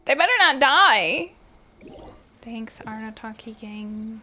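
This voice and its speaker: A woman's voice: on the high side, lively intonation, slow pace, sharp tone. A woman doing casual, playful gameplay commentary as a streamer, full of quips and teasing asides. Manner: quippy, dry teasing asides. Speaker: game streamer. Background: game music.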